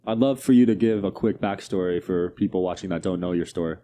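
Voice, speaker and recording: Mellow-voiced male podcast host. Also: deep voice, quick pace, slight background noise. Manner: asks short questions, constant mm backchannel. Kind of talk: casual interview.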